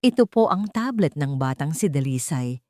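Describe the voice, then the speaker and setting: A woman's voice: low-pitched, expressive, moderate pace, mellow, no echo, studio quality. A woman voicing a firm, composed attorney addressing a court in a dramatic audio story. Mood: formal, assured. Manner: firm, formal.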